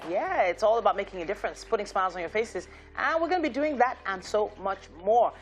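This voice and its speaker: A woman from Nigeria co-hosting a morning TV show, bubbly and quick to laugh, with light music behind her. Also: medium pitch, lively intonation. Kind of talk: lively breakfast TV chat and news reading. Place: TV studio.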